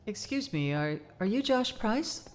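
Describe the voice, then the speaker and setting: A woman's voice: medium pitch, very animated, measured pace, darkish tone. A woman playing a gracious, friendly famous actress in audio drama dialogue, polite and cordial.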